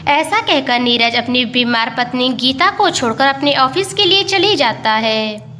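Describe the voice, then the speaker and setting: A woman's voice: high-pitched, animated, a little slow, pushed and bright, slight background noise. A woman narrating a scripted moral tale in storytelling style.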